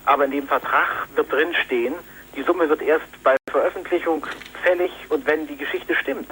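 A man's voice: on the high side, steady tone, moderate pace, fairly bright tone, slight background noise. Defensive man on a tinny phone line. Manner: firm, defensive, hesitant with many ums. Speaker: magazine editor, phone guest. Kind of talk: phone interview.

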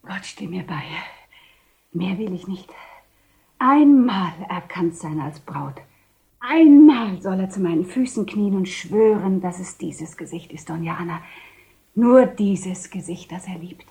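A woman playing an abandoned, love-sick bride in a German radio drama, pleading in an intense, breathy whisper. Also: lowish voice, lively intonation, a little slow, dark tone. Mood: desperate longing.

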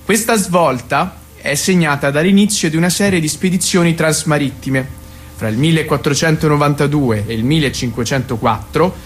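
An actor playing a bookish, impatient study partner in a stage comedy-drama, pedantic and easily exasperated. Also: male voice, a little high, a little hiss or hum, lightly acted.

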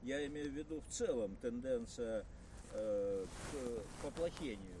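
A man's voice: medium pitch, measured pace. An older man, a retired university lecturer, chatting casually and hesitantly with many filler sounds outdoors. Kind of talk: casual conversation. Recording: distant mic, loud outdoor noise.

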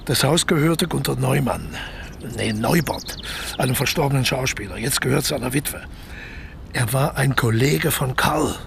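An actor in a naturalistic radio play playing a sly, embittered male ex-convict, speaking deliberately and often dropping close to a whisper. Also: medium pitch, lively intonation, creaky, a bit bright, slight background noise. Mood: secretive, savouring. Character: scheming, embittered ex-convict man plotting a lucrative burglary.

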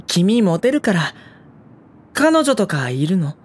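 Low-voiced, gentle teenage boy. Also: very animated, slow, husky, heavily acted. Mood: gentle, curious. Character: gentle, diffident high school boy narrator. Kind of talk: anime audio drama dialogue and inner monologue.

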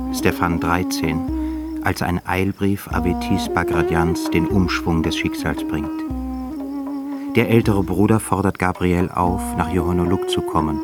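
A man narrating a literary radio drama in a scripted, storytelling style. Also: low-pitched. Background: loud music.

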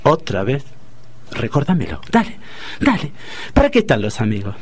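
A man acting a stubborn, self-pitying middle-aged husband with a Rioplatense Argentine accent in a comic radio play, grumbling and excitable. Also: medium pitch, normal speed, husky. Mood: indignant, pleading.

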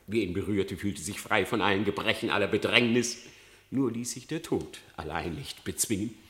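A man's voice: lowish voice, moderate pace, a bit bright, roomy sound, natural voice. An actor playing a cunning male fox on trial in a radio play, pleading and then defiant. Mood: wheedling. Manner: pleading, wheedling, rising to bold defiance.

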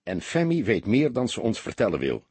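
A man voicing a seasoned, laconic police inspector who lectures his young partner calmly and a little gruffly, with a husky voice. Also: fairly low pitch, a little fast, no echo, exaggerated voice. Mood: reflective.

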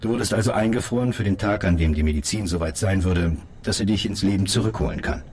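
A man plays a level-headed police colleague in a German radio drama. His voice is deep and he moves briskly through his explanations in standard German. He stays direct and reassuring, over faint background noise. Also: darkish tone, close to an everyday voice. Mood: matter-of-fact. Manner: measured wording, reassuring, direct. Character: calm, matter-of-fact male colleague patiently explaining hard news.

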